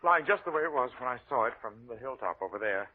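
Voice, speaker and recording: An actor playing a polite, rattled stepson of a wealthy murdered man, earnest and excitable, in 1940s radio style. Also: medium pitch, fast, natural voice. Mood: uneasy, earnest. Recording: muffled old radio recording.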